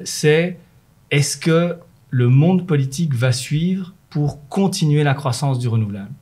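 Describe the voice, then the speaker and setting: A middle-aged man, a native French speaker, answering interview questions about his work as a manager in renewable energy, in a measured, explanatory way with many fillers and restarts. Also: mid-range voice, a little mellow, breathy edge.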